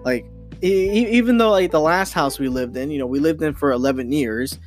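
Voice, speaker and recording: A young man hosting a casual solo podcast, rambling and excitable, full of restarts and fillers. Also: fairly high pitch, fairly quick, soft background music. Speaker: podcast host.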